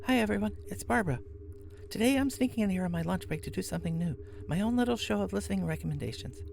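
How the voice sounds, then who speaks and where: A woman's voice: medium pitch, lively intonation, fast, vocal fry, a little mellow, a little hiss or hum. A woman hosting a podcast who recommends a show in a chatty tone with wry asides. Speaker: podcast host. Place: workplace, on lunch break.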